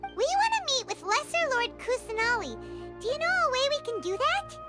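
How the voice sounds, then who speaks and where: A woman voicing a tiny, excitable, childlike fairy companion in a video game, curious and very expressive. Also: extremely high pitch, moderate pace. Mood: eager, puzzled. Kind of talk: video game character acting. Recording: background music.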